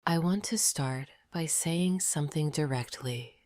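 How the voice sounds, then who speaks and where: A woman narrating a scripted sleep meditation in a soft, breathy near-whisper, gentle and deliberate. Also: deep voice, dry recording, clean studio sound.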